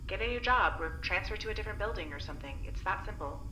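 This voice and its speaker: A woman's voice: medium pitch, animated, fast talker, pushed and bright. A woman playing a sardonic, defiant captive in an audio drama, teasing and blunt in manner. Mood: challenging. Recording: roomy sound with faint noise.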